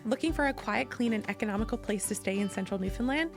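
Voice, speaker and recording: Female commercial announcer, noticeable vocal fry, scripted read over clear music. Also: a little high, animated, fast talker. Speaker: advertisement announcer.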